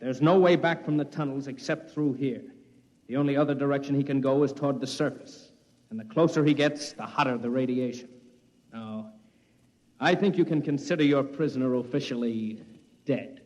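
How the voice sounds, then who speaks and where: A man plays a stolid, official guard in an old sci-fi radio play. He is curt and matter-of-fact, with a medium-pitched voice. The muffled recording rings with reverb. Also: moderate pace, a little mellow, close to an everyday voice. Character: stolid, official male guard at a tunnel checkpoint. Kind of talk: 1950s radio drama acting.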